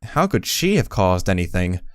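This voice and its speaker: A man voicing a timid, soft-hearted young pony who narrates an audio story in the first person, earnest and hesitant. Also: sits low, big pitch swings, normal speed, clear, resonant tone, no echo. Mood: reflective.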